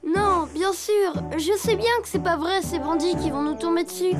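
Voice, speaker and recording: A woman's voice: extremely high pitch, normal speed. A woman voicing a hesitant, playful little boy elephant in a children's cartoon, with childlike delivery. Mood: wistful, eager. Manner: childlike, wavering. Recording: loud music behind the voice.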